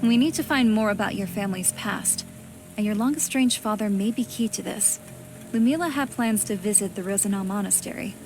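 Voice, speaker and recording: An actress playing a stern, composed investigative reporter in a video game, with a controlled, measured, admonishing delivery. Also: female voice, mid-range voice, over music, natural voice. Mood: grave, determined. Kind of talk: dramatic game voice acting.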